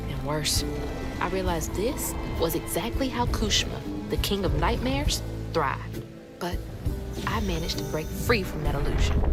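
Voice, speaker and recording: A young woman playing a brave, earnest, determined daughter and hero in a video game cutscene. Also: deep voice. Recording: loud music under the voice.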